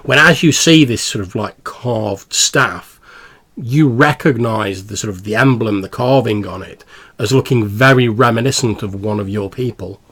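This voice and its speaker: A man's voice: on the low side, moderate pace, fairly bright tone, husky. A man running a tabletop game as game master, narrating and explaining in an informal, casual way.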